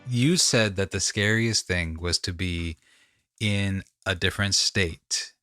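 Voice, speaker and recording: A man's voice: deep voice, slow, pushed and bright, faint music behind. A man hosting a podcast in casual conversation, emphatic and effusive, repeating phrases for effect. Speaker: podcast host.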